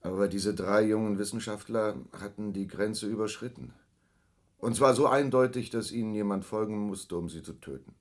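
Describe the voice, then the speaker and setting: An actor in a radio play playing a hard-bitten, self-justifying Hollywood schemer, speaking with emphatic, deliberate phrasing. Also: male voice, on the low side, natural voice. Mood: grimly resolute. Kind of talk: 1980s German radio play acting.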